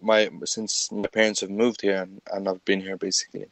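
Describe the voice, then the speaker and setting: A young man, his voice sitting fairly low. He talks earnestly and conversationally, pausing now and then to find the next word. The sound is dry and close. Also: little pitch movement, a bit bright, no room sound. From Ireland. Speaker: interview guest. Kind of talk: casual interview talk.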